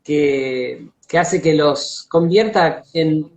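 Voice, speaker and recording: An Argentine man hosting a casual live-stream interview, speaking haltingly and circling back over his phrases. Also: on the high side, vocal fry. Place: live video call on social media. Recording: dull, lo-fi internet call audio.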